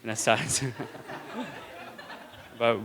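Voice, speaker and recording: A man's voice: medium pitch, steady tone, extremely slow, a bit bright, a little hiss or hum. A young man in his twenties from India gives an earnest student talk on stage and stutters audibly, repeating words and sounds, with light jokes for the audience. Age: 20s. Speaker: student speaker. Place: auditorium stage. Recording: long hall reverb.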